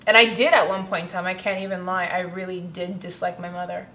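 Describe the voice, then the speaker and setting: A woman's voice: on the low side, little pitch movement, fast, a bit bright, roomy sound, slight background noise. A woman who coaches women in empowerment, speaking earnestly and reflectively in a documentary interview and building emphasis through repetition.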